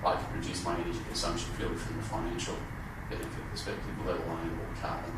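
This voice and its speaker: A man speaking as a panelist in a businesslike, animated way, with many restarts. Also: low voice, fast, heavy background noise. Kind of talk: panel discussion.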